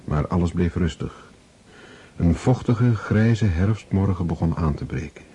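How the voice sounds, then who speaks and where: A man's voice: low voice, animated, a little slow, dark tone, slight background noise. A man reading scripted literary narration as the narrator of a radio drama. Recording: dull lo-fi old radio recording.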